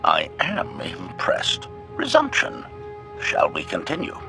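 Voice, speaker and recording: Deep, creaky, swooping droid servant. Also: male voice, huge pitch swings, takes it slowly, music in the background, exaggerated voice. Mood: eager, deferential. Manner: formal, announces each sentence's intent with one word. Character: polite, devoted male droid servant, formal and analytical. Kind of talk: scripted video game character acting.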